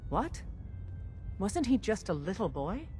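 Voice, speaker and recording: A woman's voice: medium pitch, big pitch swings, slow pace, warm tone, soft background music. An actress playing a tough female resistance leader in a video game, clipped and businesslike. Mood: surprised, probing. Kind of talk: video game dialogue acting.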